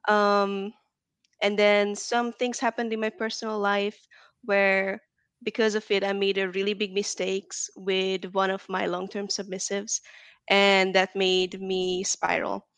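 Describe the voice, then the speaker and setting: Interview guest: woman, gravelly voice, dry close sound, chatty with lots of likes and ums. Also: medium pitch, flat delivery, a little slow, fairly bright tone, no room sound. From Hungary. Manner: chatty, frequent "like" and "um". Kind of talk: casual interview chat.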